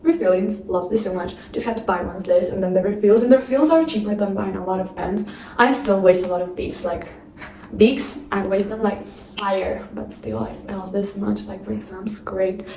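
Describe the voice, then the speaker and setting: A woman's voice: medium pitch, normal speed, mellow, reverberant, far from the mic, a little hiss or hum, rough recording. A young woman vlogging, chatting and gushing, full of fillers, with a non-native accent. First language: not English. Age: young adult. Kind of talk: casual chat.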